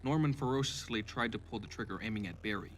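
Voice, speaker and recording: A man's voice: lowish voice, quick pace, background noise. A man narrating a short audio drama as a scripted story.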